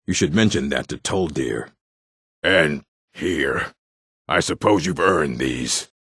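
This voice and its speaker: Gravelly, deep, gruff orc. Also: male voice, fairly bright tone, no echo, clean studio sound, heavily acted. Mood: measured, curious. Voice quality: gravelly, rough. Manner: blunt, curt, stern. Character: gruff adult male orc, blunt proud fantasy warrior folk. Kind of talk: video game character voice lines.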